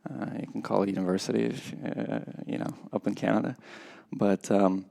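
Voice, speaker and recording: A man's voice: on the low side, steady tone, vocal fry, mellow. A man in his thirties from Russia, a podcast guest speaking reflectively and unhurriedly in a casual interview. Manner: reflective, unhurried, hesitant with many pauses. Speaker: podcast guest, skydiving competitor.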